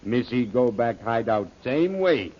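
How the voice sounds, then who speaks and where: A man's voice: medium pitch, sing-song, measured pace, darkish tone. An actor playing a loyal native male jungle guide who speaks broken pidgin English in an old-time radio serial. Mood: stubborn. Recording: dull lo-fi old radio recording.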